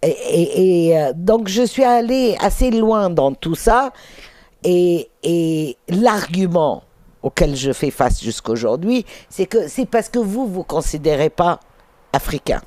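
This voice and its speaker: Woman, first language Finnish, middle-aged, halting with fillers, warm mellow tone, unhurried. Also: lowish voice, animated, no echo. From England. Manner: hesitant, halting with many fillers. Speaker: interview guest, documentary filmmaker. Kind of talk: reflective interview storytelling.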